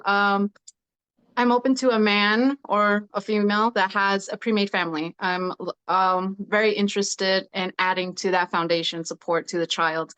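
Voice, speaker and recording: Twenties woman, smooth clear voice, kinda hesitant, lots of ums. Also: medium pitch, fairly bright tone, no room sound, lo-fi sound. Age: 20s. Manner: thoughtful, hesitant with fillers. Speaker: dating show participant. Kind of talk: casual chat.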